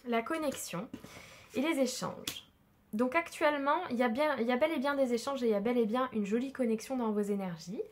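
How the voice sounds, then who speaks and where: A woman with a slightly high voice reads tarot cards in a casual, chatty way. She hesitates often and fills gaps with small sounds. Her nose is stuffed up from a cold. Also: brisk, slight background noise. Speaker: tarot card reader. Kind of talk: casual tarot reading to camera.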